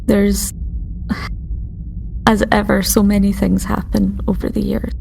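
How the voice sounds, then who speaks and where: A woman voicing a calm, caring alien starship doctor in a tabletop game, measured, formal and reassuring. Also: medium pitch, creaky, a little hiss or hum. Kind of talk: tabletop roleplay in character.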